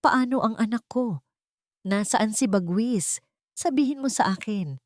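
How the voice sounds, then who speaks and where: A woman's voice: fairly high pitch, unhurried, darkish tone, no echo, studio quality, pitched above the performer's own voice, lightly acted. A woman voicing a proud, bitter older rich woman who has lost everything, speaking haughtily and defiantly. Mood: anxious.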